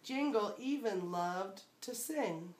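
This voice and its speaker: A woman's voice: mid-range voice, animated, takes it slowly. Woman coaching in an echoey room. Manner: instructing, prompting line by line. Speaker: adult coaching a child reader. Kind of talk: casual family talk and prompting. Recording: echoey room, faint background noise.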